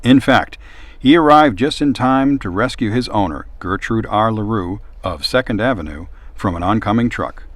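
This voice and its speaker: A man reads a storybook aloud in a fairly low voice. The recording is dry and close, with the narration set out like a read-aloud for children. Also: expressive, normal speed, no room sound. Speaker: storybook reader.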